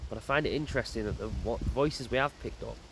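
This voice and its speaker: A man's voice: medium pitch, fast talker, creaky. A man vlogging in a graveyard, musing aloud and asking himself questions as he walks. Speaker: paranormal investigator vlogger. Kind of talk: casual walking commentary. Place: outdoors in a graveyard. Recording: loud outdoor noise, passing motorbike.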